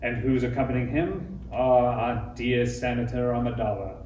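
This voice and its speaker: Parody villain: male actor as scheming, formal count, pompous and condescending, mid-pitched, distant in an echoey room. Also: moderate pace, darkish tone, highs cut off, lightly acted. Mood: coolly mocking. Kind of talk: parody script table read. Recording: echoey room, distant mic, faint noise.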